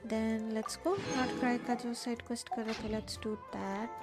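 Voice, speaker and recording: A woman's voice: on the high side, fairly even intonation, racing, over loud music. A woman with an Indian accent chatting casually as she live-streams a video game. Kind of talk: casual live-stream gaming chat, mixing Hindi and English.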